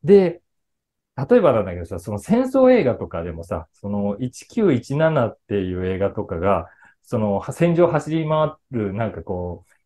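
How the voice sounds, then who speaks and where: A middle-aged man chatting casually about films to an online audience, explaining things conversationally and often pausing to search for words. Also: on the low side, very animated, mellow. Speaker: voice actor and actor talking to his fan club. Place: small indoor room with a patterned wall, video call.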